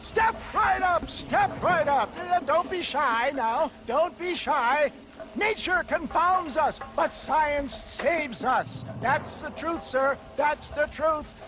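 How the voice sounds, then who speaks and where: A showman peddling a bogus tonic, a high voice stretching every word slowly in theatrical, chuckling patter, a game cutscene huckster who is slippery and full of bluster. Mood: hawking. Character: smooth-talking male snake-oil huckster, showy and evasive. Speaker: traveling tonic salesman. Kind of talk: video game cutscene voice acting.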